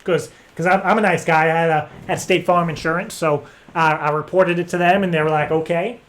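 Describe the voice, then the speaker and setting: A man making a prank phone call, rambling in an earnest act with hesitant, halting phrasing. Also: a little high, steady tone, fairly bright tone. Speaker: prank caller. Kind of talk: improvised phone prank chat.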